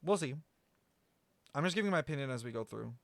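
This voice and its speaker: A man's voice: mid-range voice, expressive, quick pace, vocal fry, no room sound. A man giving casual game stream commentary, rambling as he thinks aloud and swears freely.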